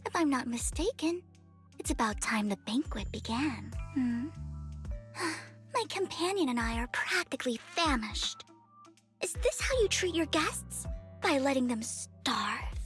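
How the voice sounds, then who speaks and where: A woman's voice: high voice, expressive, a little slow, fairly bright tone. A woman voicing a poised, cunning young female thief who teases and often drops to a whisper, in game audio with music behind. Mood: teasing. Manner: coy and teasing, drops to whispers.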